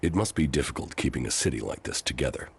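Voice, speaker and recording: A man playing a stoic, hardened commander in a post-apocalyptic video game, gravelly, terse and deadpan. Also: extremely low pitch, quick pace, exaggerated voice. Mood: blunt, confident.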